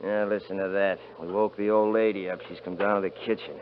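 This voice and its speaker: A man's voice: lowish voice, little pitch movement, fairly quick, natural voice. An actor playing a cocky rancher who mocks and bullies his family in a 1950s radio drama. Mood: taunting. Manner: mocking, bullying, laughing at others. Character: cocky, taunting rancher brother Kurt. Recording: muffled vintage radio recording with faint hiss.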